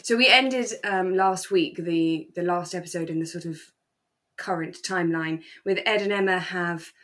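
A British woman with a fairly low voice, chatting casually as a podcast co-host. She talks in a relaxed, conversational way about a favourite show. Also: normal speed. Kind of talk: relaxed casual chat about a radio drama.

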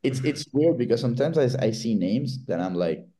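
A Venezuelan-accented man, a Spanish speaker, chatting on a podcast in a mellow, warm voice. He tells stories with animation and acts out the voices of the people in them. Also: mid-range voice, a little fast. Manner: animated storyteller, acts out quotes. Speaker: guest, baseball writer. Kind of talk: casual podcast chat.

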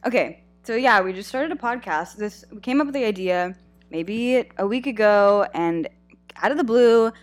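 A young woman co-hosting a casual podcast from a college dorm room, joking and rambling with deadpan sarcasm into a small headphone mic. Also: medium pitch, moderate pace, soft background music. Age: young adult. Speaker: podcast co-host. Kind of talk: casual chat. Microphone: small headphone mic on a phone.

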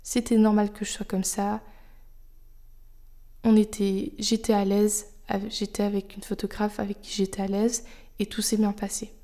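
A young woman hosting a podcast, speaking French as her first language in a personal, reflective monologue. She talks softly and confidingly, thinking aloud as she goes, sometimes dropping almost to a whisper. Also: mid-range voice, flat delivery, moderate pace. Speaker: podcast host.

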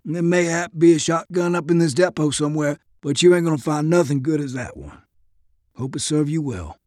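A man's voice: a little high, fairly quick, darkish tone, no echo, clean studio sound, close to an everyday voice. Man voicing a folksy, drawling Southern soldier. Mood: proud, generous. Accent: rural American South. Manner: drawling, colloquial, instructive. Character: folksy, confident soldier corporal, good with guns.

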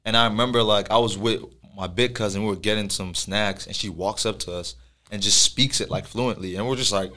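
A man's voice: on the low side, fairly even intonation, brisk, pushed and bright. A young man from Nigeria hosting a casual interview, easygoing and conversational. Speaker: interviewer, host.

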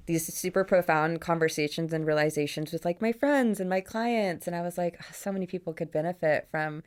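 A woman's voice: fairly low pitch, a little fast, darkish tone, smooth. A young woman hosting a podcast, chatting casually and effusively with plenty of informal slang. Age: young adult. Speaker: podcast host; photographer.

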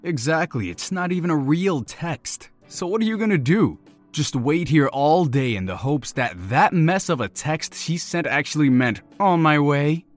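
A man's voice: on the high side, animated, moderate pace, faint music behind, lightly acted. An actor as a cocky, sarcastic young ladies' man. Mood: mocking. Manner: flippant, sarcastic, teasing. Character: cocky young womanizer, flippant, skeptical best friend. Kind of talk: naturalistic audio drama acting.

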